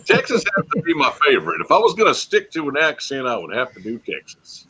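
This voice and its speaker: A man bantering on a casual livestream chat, always teasing and joking with the others. His voice is husky and bright, and his pitch swings widely from line to line. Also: wildly swooping pitch, fast, rough recording.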